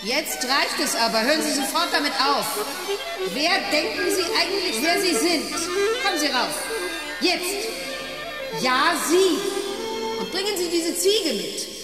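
A woman's voice: high voice, lively intonation, unhurried, over loud music. An actress in a German radio play playing an imperious, obsessive female factory boss with a haughty, commanding, brooding manner. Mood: outraged, commanding.

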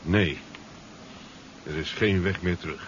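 A man's voice: low-pitched, takes it slowly. A man acting a grumbling, fussy scientist with a hesitant delivery in a 1970s radio drama. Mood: dismayed. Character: grumbling male scientist attached to his laboratory. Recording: dull, slightly noisy 1970s radio recording.

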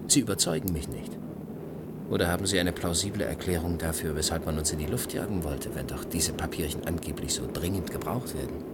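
An actor playing a suave, cynical journalist in a German radio play, talking with ironic, teasing gallantry. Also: male voice, low voice, fairly quick, very noisy. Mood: ironic, probing. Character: suave, cynical male journalist chasing money, world-weary adventurer.

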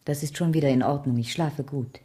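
An actress plays a composed, kindly woman in a 1970s radio crime drama. Her voice is fairly low. She talks in a gentle, measured way with a light wryness. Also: natural voice. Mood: gentle, resigned.

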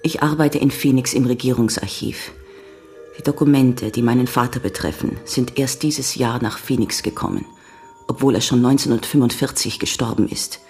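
An actress playing a grave young American woman giving measured, sombre testimony in a German radio crime drama. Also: extremely low pitch, a little mellow, faint music behind. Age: young adult. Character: grave young American woman recounting her father's betrayal. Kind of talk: German radio play acting.

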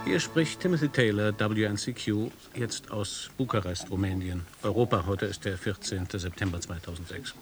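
A man's voice: on the low side, normal speed, hardly any pauses, loud music behind the voice, close to an everyday voice. An actor playing a male American radio reporter who asks hesitant questions and reports on air. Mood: reporting. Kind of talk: radio play acting.

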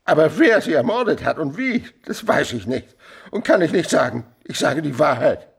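An actor playing a frightened, muddled peasant suspect in a period radio play, halting, repetitive and servile. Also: male voice, a little high, very animated, creaky, husky, full character voice. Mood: anxious, insistent.

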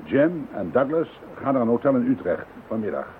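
A man's voice: medium pitch, very animated, brisk, phone-quality sound, a little hiss or hum, lightly acted. A man playing an easygoing police colleague. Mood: friendly.

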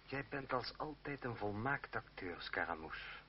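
An actor playing a proud, jealous stage player in a radio drama, with a taunting, mannered delivery. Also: male voice, mid-range voice, lively intonation, a little slow, close to an everyday voice. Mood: jealous, fuming. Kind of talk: theatrical radio drama acting. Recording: dull, faintly noisy old radio recording.

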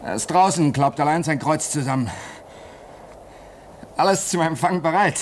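Radio play: injured polar station man, gruff, prickly, short-tempered, slightly high pitch, noisy archival sound. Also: lively intonation, unhurried. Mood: impatient, evasive. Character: gruff, defensive male polar crewman with injured leg.

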